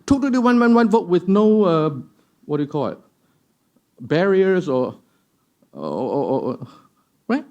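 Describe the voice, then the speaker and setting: A man's voice: high voice, very animated, unhurried, pauses often, a little mellow, dull audio. A man telling a story at a panel discussion, emphatic and fond of rhetorical questions. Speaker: panel guest. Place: event hall.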